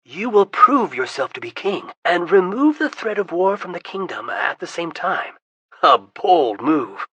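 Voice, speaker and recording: A reader doing a calm, courtly wizard. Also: mid-range voice, unhurried, husky, no echo, pitched above the performer's own voice, heavily acted. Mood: flattering. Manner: composed, courteous, persuasive. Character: calm, smooth-talking adult wizard adventurer.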